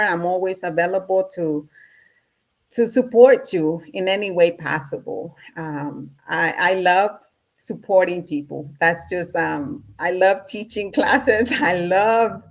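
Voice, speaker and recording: A middle-aged woman with a somewhat low voice. She speaks eagerly and breaks into laughter often. She sounds like an online video call guest. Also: a little slow. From Honduras. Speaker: guest, holistic business teacher. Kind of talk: casual interview talk.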